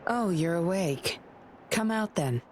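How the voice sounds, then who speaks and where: A woman playing a confident, commanding young woman in a video game, cool, measured and teasing. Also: fairly low pitch, expressive, background noise.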